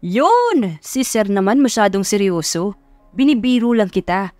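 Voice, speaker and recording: A woman's voice: mid-range voice, big pitch swings, measured pace, a little mellow, dry recording, soft background music. A woman voicing a joking male bodyguard who playfully teases his boss, in an audio love story. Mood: teasing. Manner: playful, deferential.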